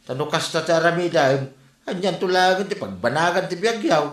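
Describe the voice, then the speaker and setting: A man's voice: on the high side, expressive, a little fast, lightly acted. A man playing a reproving father of grown daughters in an Ilocano comedy drama, lecturing with an Ilocano accent. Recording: roomy echo, faint music.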